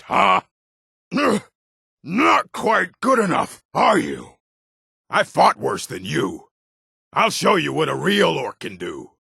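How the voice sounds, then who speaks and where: Gravelly, husky orc warrior. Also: male voice, fairly high pitch, sharp tone, no room sound, clean studio sound, exaggerated voice. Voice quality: gravelly, rough. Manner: menacing, blunt. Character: gruff, aggressive orc warrior, adult male. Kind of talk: video game character barks.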